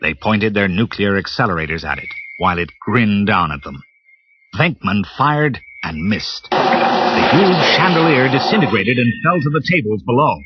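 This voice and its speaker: A man narrating a read-along storybook in a lively, expressive way. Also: on the low side, moderate pace, noisy background. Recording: muffled cassette with music bed.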